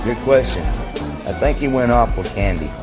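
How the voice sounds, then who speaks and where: A man's voice: mid-range voice, expressive, moderate pace, lightly acted. An actor playing an easygoing, womanizing army sergeant with a folksy, unbothered way of talking in an audio drama. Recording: loud music and crowd behind.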